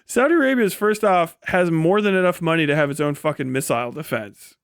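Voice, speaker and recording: A man's voice: on the high side, fairly quick, smooth, dry recording. A man, recorded close and dry. He talks off the cuff like a streamer, swearing freely, with a mocking, incredulous edge to his commentary. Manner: breaks often into laughter while talking. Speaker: live streamer. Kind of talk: casual rant and commentary to a stream audience.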